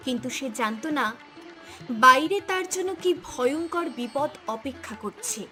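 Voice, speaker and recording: Female cartoon narrator: high voice, dramatic storytelling, light music bed. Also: bright, forceful tone.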